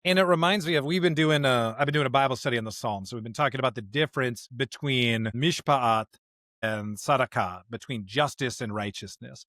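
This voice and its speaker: A man co-hosting a podcast in a conversational discussion of scripture, speaking emphatically in halting bursts and often checking in with a rhetorical question. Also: medium pitch, fairly bright tone, no echo, clean studio sound. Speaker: podcast co-host.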